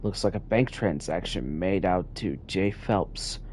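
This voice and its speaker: A man streaming a game, chatting casually and reading in-game notes aloud in a relaxed way. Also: low-pitched, a little slow, a little hiss or hum. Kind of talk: casual gameplay commentary.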